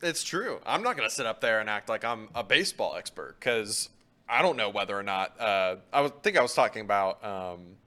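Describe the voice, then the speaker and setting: Clear, bright-voiced man talking sports. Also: fairly low pitch, very animated, fairly quick. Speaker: sports show co-host. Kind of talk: casual sports talk.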